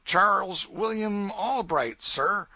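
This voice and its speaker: A man's voice: sits high, lively intonation, slow, sharp tone, dry recording, pitched above the performer's own voice, full character voice. A man voicing a scared young boy stowaway on a raft, polite and eager to please. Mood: nervous.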